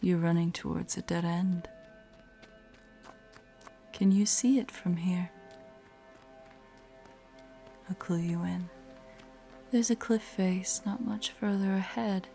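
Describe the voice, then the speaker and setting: A woman playing a predatory, teasing spider-woman monster in a horror audio roleplay, with a breathy, whispery voice and a taunting, menacing manner. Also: lowish voice, mellow. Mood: smug threat. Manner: slow, taunting, playfully sinister.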